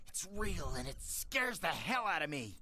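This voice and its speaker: Frightened street informant for a game: twitchy, halting, animated intonation. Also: a little high, slight background noise, exaggerated voice. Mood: scared, uneasy. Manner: nervous, stammering, evasive. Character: jittery, frightened, shady male informant. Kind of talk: video game voice acting.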